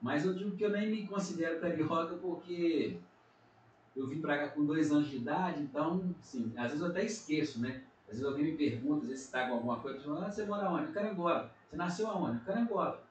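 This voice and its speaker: A man's voice: on the high side, a little fast, dull audio. A middle-aged man, a pastor from Minas Gerais with a Brazilian accent, giving an earnest testimony in a casual podcast chat. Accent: Brazilian Portuguese, Minas Gerais. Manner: earnest, frequent tag questions. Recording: echoey room, distant mic, faint noise.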